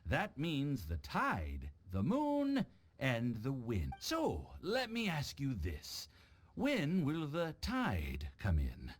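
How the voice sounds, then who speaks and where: A man's voice: mid-range voice, huge pitch swings, takes it slowly, slightly rough, heavily acted. A man voicing a polite, knowing and shady business contact in a video game. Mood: cryptic, measured. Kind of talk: scripted game dialogue.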